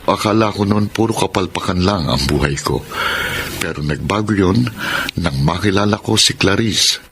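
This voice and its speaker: A man plays an earnest, lovestruck young man in a radio drama. His voice sits fairly low, and he speaks as one confiding his own life story, over faint noise. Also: normal speed, natural voice. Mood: regretful, reflective. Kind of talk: radio drama acting with letter narration.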